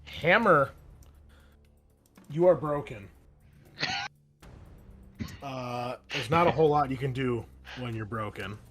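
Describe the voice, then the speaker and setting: A man's voice: mid-range voice, slow pace, long pauses. Male game master, easygoing delivery, faint music bed. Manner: casual, relaxed, frequent fillers. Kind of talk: running a tabletop game.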